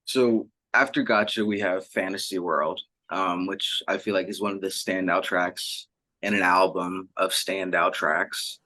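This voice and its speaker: A man's voice: low-pitched, steady tone, measured pace, pushed and bright. A man interviewing a musician, asking wordy, descriptive questions in a prepared but informal way.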